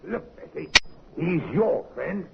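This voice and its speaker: An actor playing a gruff, blunt middle-aged innkeeper husband in a vintage radio crime drama. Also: male voice, on the high side, slow, heavy background noise, full character voice. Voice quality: gravelly, bossy. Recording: muffled vintage radio recording.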